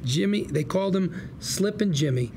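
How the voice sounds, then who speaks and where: A man's voice: high voice, animated, normal speed, gravelly, background noise. An actor playing a scheming, sarcastic lawyer with a folksy salesman's patter at a script table read. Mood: storytelling, wistful. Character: scheming, sarcastic younger brother, a slick fast-talking lawyer.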